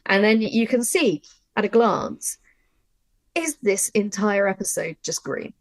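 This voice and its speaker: A woman presenting an informal writing workshop, talking through her method in an animated way and thinking aloud. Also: medium pitch, measured pace, dry recording. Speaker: audio drama writer and workshop presenter.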